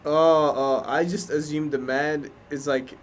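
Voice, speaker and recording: Young guy, a touch high-pitched, loud and doubtful, faint hiss behind him. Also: fairly even intonation. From Australia. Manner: loud, skeptical, quick to protest. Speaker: podcast host. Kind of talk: casual podcast chat.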